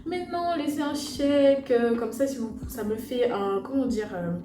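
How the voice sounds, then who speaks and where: A woman's voice: fairly high pitch, normal speed. A young woman from French Guiana casually telling a personal story to her viewers in a slangy, chatty way full of fillers and asides. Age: young adult. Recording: echoey room with loud music behind.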